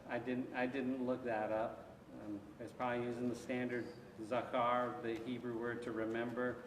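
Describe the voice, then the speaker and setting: A man's voice: medium pitch, level tone, normal speed, a little hiss or hum. A male pastor leading an interactive Bible study, talking in a conversational way, taking questions and acting out little asides. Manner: conversational, takes questions, frequent restarts and acted asides. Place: church sanctuary. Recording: echoey church hall from far off.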